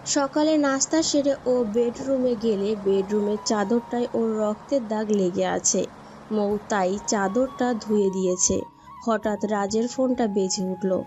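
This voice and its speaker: A woman with a fairly high, mellow voice narrates a scripted love story. The recording is slightly dull, with a faint music bed behind her. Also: measured pace.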